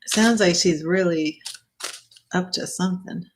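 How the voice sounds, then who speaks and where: A woman reading tarot cards on a live stream. She chats casually and thinks aloud, and her voice is getting worn and a little hoarse so she keeps clearing her throat. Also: on the low side, a little slow, slight background noise. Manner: chatty, thinking aloud, with small laughs. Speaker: tarot reader.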